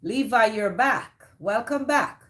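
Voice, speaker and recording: A woman's voice: on the high side, animated, slow pace, a bit bright. Woman, Jamaican accent, livestream host, casual chat, greets viewers by name. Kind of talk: casual live chat reading viewer comments.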